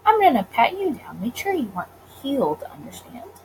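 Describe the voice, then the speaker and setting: A gruff, suspicious speakeasy bouncer played by a woman from a visual novel. He talks in curt orders with a bossy edge and swinging intonation, over faint noise. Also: sits high, wildly swooping pitch, fairly quick, darkish tone, exaggerated voice, low-quality recording.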